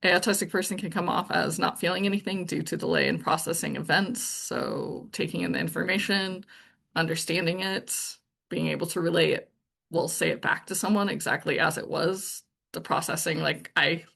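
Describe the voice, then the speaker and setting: A woman talks to her viewers in an even, monotone voice, blunt and direct. Her tone carries gravel and a slightly breathy husk. She thinks aloud, circling back and restarting as she goes. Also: lowish voice, normal speed. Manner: blunt and to the point. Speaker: channel host. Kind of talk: casual true-crime commentary monologue.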